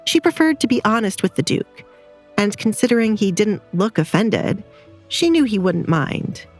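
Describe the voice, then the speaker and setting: A woman's voice: medium pitch, big pitch swings, normal speed, faint music behind. A woman narrator reading a scripted romance drama.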